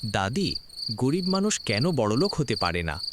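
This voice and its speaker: A woman's voice: rumbling low, sing-song, normal speed. A woman voicing an earnest, inquisitive young village grandson in a cartoon, over background noise. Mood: curious.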